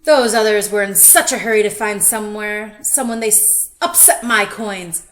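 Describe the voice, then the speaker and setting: A woman's voice: mid-range voice, normal speed, fairly bright tone, close to an everyday voice. A woman voicing a grumpy, greedy male lobster who growls and grumbles, in a storybook reading. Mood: grumpy, snarling.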